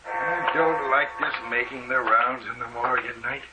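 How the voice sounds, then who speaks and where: An actor playing a fearful, superstitious night attendant at a morgue, in 1940s radio horror style. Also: male voice, mid-range voice, moderate pace, loud music behind the voice, lightly acted. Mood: uneasy, frightened. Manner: muttering to himself, fearful. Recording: very noisy old radio recording.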